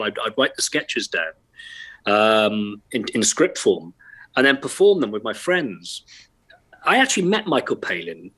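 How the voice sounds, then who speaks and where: A man's voice: medium pitch, fairly bright tone, slightly rough, low-quality recording. A middle-aged man from Wales with a light Welsh accent, a chatty, self-deprecating actor who laughs often, talking remotely from his bedroom at home. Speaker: actor, guest. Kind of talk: casual interview chat.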